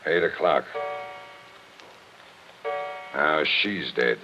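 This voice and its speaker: An actor playing a blunt, exasperated police captain in a 1940s radio crime drama, speaking in an insistent, commanding way. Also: male voice, very deep voice, very slow, music in the background, full character voice. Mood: urgent, grave. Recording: muffled old 1940s radio recording.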